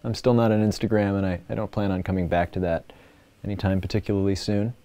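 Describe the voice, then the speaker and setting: Mellow, halting man chatting on a livestream. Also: deep voice, little pitch movement. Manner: halting, informal, sincere. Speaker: livestream host. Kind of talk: casual unscripted livestream chat.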